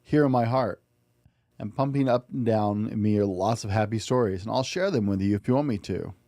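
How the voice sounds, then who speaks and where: A man plays a small, innocent storybook owl, eager and earnest. The voice is soft-toned and mellow, that of a generous little dreamer, recorded dry. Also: lowish voice, fairly quick, no echo, natural voice.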